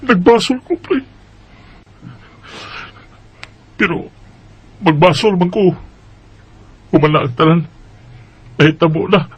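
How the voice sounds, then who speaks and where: An actor playing a heartbroken young overseas worker in a radio drama, earnest and emotive, with a halting delivery. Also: male voice, high-pitched, lively intonation, slightly rough. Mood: regretful. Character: heartbroken overseas worker, a young man searching for his girlfriend. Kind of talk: radio drama acting and narration.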